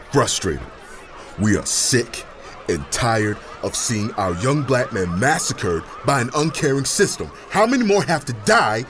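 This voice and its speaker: An actor playing a courteous but fed-up protest leader, speaking with formal, emphatic passion in an audio drama. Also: male voice, mid-range voice, a bit bright, slight background noise. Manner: formal, emphatic, impassioned oratory. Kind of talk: audio drama street interview.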